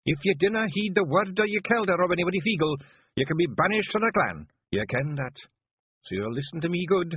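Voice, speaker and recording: A man voicing a tiny, fierce fairy clan matriarch with a broad Scottish accent and a sharp, commanding delivery. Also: high-pitched, lively intonation, unhurried, slightly rough, pitched above the performer's own voice, full character voice. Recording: phone-like narrow band.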